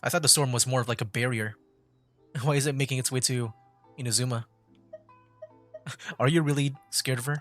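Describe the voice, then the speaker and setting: A man's voice: medium pitch, normal speed, long pauses, fairly bright tone, soft background music. A man streaming a game with casual, slightly hesitant live commentary, restarting his sentences.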